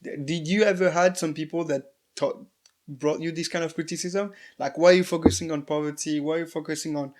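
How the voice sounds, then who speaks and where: A man from Congo co-hosts a casual interview in a fairly high voice. He works his way into each question hesitantly, restarting and pausing as he goes. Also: fairly even intonation, a little mellow. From Republic of the Congo. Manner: hesitant, many fillers and restarts while forming questions. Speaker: interview co-host.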